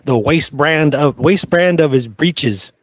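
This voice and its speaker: A man's voice: fairly high pitch, slow, dry recording. A man co-hosting a conversational history discussion, repeating points for emphasis. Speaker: podcast co-host. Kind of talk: conversational historical discussion, sometimes reading quotes.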